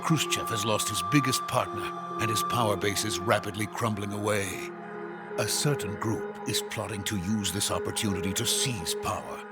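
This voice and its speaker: A man playing a wary, grave Soviet scientist in a video game, speaking in a measured but urgent way. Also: fairly low pitch, lightly acted. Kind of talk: video game cutscene acting. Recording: loud music behind the dialogue.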